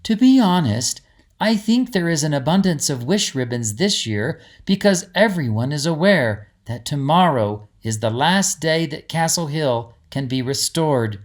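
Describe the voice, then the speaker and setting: A man voicing a kindly, encouraging woman in a storybook, with a gentle, reassuring way of explaining things. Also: sits low, animated, unhurried. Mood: earnest. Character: kindly, encouraging woman guiding a young princess. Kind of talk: storybook character dialogue.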